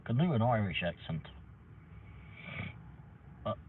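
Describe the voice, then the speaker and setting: A man's voice: fairly low pitch, very animated, slow. Chatty Englishman on a tinny stream. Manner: rambling, chatty, mild British swearing. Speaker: livestream host, delivery driver. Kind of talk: casual live chat. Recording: tinny phone-like audio with faint noise.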